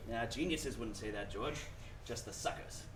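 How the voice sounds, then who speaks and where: A man's voice: mid-range voice, normal speed, close to an everyday voice. A man acting a rich, scheming old tycoon in a 1940s-style radio play, smug, folksy and condescending. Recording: roomy, distant mic, noisy live stage.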